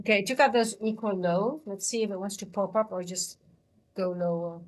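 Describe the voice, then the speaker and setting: A woman's voice: medium pitch, steady tone, moderate pace. A woman hosting a live trading stream, giving casual commentary to her chat, thinking aloud tentatively and often trailing off mid-sentence. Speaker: livestream host, futures trader and coach.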